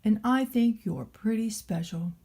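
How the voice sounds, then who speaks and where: Woman as a slow, gentle bearded craftsman. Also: fairly high pitch, husky. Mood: reassuring. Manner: slow, thoughtful, tender. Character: large bearded craftsman, gentle, loving, reassuring maker.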